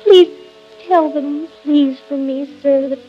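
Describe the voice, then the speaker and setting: Woman as a slow, high-voiced pleading child. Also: fairly even intonation. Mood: earnest, pleading. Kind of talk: sentimental spoken recitation on a country record. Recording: dull vintage 78 rpm record. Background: music.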